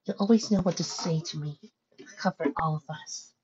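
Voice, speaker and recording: A woman voicing a gentle, sorrowful young female pony in a storybook reading, speaking softly and earnestly. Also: deep voice, muffled recording, a crowd in the background, pitched above the performer's own voice, natural voice. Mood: grateful. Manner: soft, earnest, measured.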